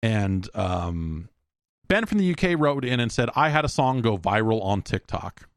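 An American man hosting a podcast alone in a casual, rambling, halting way, thinking aloud and speaking bluntly. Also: fairly low pitch, very animated, dry recording, studio quality. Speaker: podcast host. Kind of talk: casual solo podcast talk, reading listener emails.

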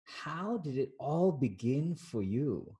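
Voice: medium pitch, very animated, takes it slowly, warm tone. A show host chatting casually and upbeat, joking and exclaiming in reaction to the guest. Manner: jokey, laughs often, exclaims reactions. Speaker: host of a coaching interview show. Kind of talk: casual upbeat interview chat.

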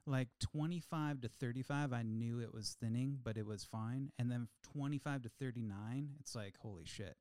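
Male podcast co-host, late thirties, mid-pitched, animated and insistent, close dry sound. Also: normal speed, few pauses, dry recording. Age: 30s. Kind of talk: casual chat.

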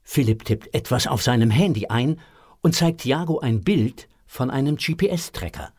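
A man's voice: mid-range voice, animated, unhurried, a little mellow, breathy edge. A man narrating a scripted audio story for children.

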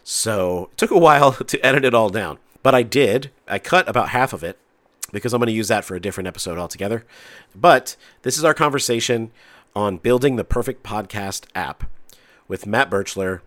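A low-pitched man with a stuffy, nasal voice from a head cold hosts a chatty tech podcast. He talks freely and loosely, circling back on his own sentences. The recording is dry and close, with no room around him. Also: expressive, brisk, fairly bright tone, no room sound. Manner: chatty, talks a lot with restarts. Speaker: podcast host. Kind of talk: casual podcast chat.

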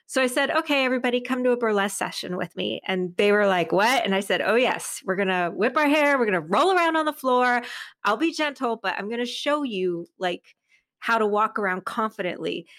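A middle-aged woman talking casually as an interview guest, telling stories in an animated, effusive way and acting out bits of dialogue. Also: on the high side, brisk, no echo. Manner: animated, effusive, re-enacts dialogue, laughs often. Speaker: podcast guest, author and creative.

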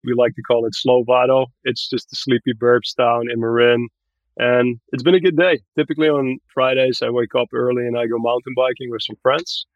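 A man's voice: mid-range voice, flat delivery, brisk, no echo. Male interview guest from Barbados, casual but articulate explainer, close dry sound, slightly muffled. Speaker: podcast guest, tech growth manager.

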